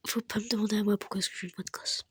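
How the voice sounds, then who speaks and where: A young man with a very high, childlike voice, stuffy from a cold, giving soft, half-whispered, rambling commentary over his gameplay. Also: flat delivery, rapid-fire. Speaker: gaming YouTuber.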